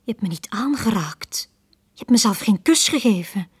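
An actress playing a lonely, pleading young woman, frightened yet proud, with a breathy, husky voice and a fragile intensity in a radio drama. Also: mid-range voice, expressive, moderate pace. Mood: hurt, proud. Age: young adult.

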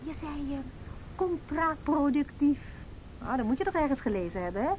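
An actress playing a sharp-tongued, defiant young woman who talks sarcastically in a naturalistic radio drama. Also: high-pitched, sing-song, moderate pace, a little hiss or hum, poor audio. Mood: sarcastic, mocking. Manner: sarcastic, mockingly repeating words.

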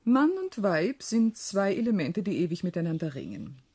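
An actress playing a shrewd, proud, self-possessed widowed sister in a German radio play, speaking deliberately and expressively. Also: female voice, lowish voice, very animated, warm tone, slightly rough. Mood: self-satisfied.

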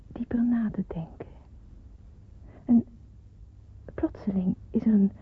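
A devout young daughter in a wartime radio play. Her voice is very husky and breathy, and she speaks with solemn, measured earnestness. The old recording sounds thin and phone-like. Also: medium pitch. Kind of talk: radio drama narration.

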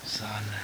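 Dreamy female cashier, slow and breathy, blissful temper, heavy ambient noise. Radio drama. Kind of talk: slow radio play monologue.

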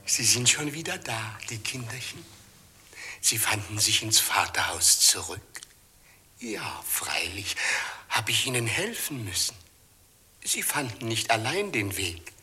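Insane old murderer, sharp hissing rasp, slow and halting, tenderly gloating, sinister, vintage radio play. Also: male voice, lowish voice, big pitch swings, heavily acted. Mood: gloating madness. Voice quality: breathy, rasping whisper. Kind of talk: 1950s German radio drama acting. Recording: muffled vintage 1950s radio recording.

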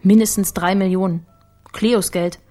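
A shrewd woman researcher, played by an actress in a radio crime drama, with a medium-pitched voice. She explains things coolly and confidently. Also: steady tone, measured pace, mellow, natural voice. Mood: cool, explanatory. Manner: matter-of-fact, confident.

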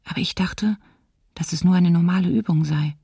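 A subdued young female student reads her diary in a radio drama. The voice is low, creaky and breathy, quiet and understated, in a dry, slightly distant studio recording. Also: normal speed, dry recording, heavily acted. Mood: subdued, grave. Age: young adult. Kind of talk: intimate scripted diary reading in radio drama.

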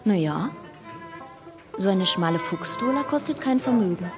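A woman's voice: mid-range voice, expressive, moderate pace, lightly acted. An actress playing a fussy, well-off woman in a radio play, chatty and coaxing. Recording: music under the voice.

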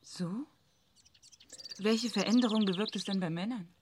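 A woman's voice: mid-range voice, moderate pace, noisy background, natural voice. A woman in a radio drama playing a polite but sceptical interviewer with a matter-of-fact, questioning manner. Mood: cool curiosity.

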